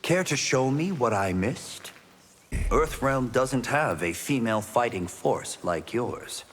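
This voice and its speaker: Game fighter role: self-assured, animated delivery, noticeable noise and reverb. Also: male voice, mid-range voice, slow. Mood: cocky, teasing, then respectful. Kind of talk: video game character acting. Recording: long reverb, clear noise.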